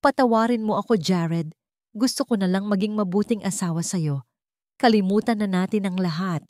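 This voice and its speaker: A woman's voice: mid-range voice, normal speed, darkish tone, no echo, studio quality, natural voice. A woman voicing a wronged wife who feigns meek, defeated submission in an audio story. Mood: pleading.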